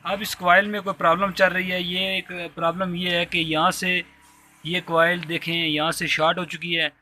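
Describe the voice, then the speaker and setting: Bright-voiced Pakistani man giving a tutorial. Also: sits high, level tone, moderate pace. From Azad Kashmir, Pakistan. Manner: polite, repetitive step-by-step explaining. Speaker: repair tutorial host. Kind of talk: informal hands-on tutorial narration. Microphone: handheld phone while working.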